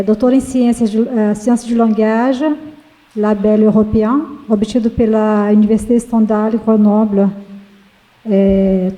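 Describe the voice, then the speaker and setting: A woman with a Brazilian accent moderating a panel, reading a formal academic introduction in a halting way with self-corrections. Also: medium pitch, warm tone, poor audio.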